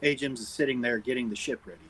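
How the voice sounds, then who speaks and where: Husky man reading stage directions. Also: medium pitch, quick pace, fairly bright tone. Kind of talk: script table read narration.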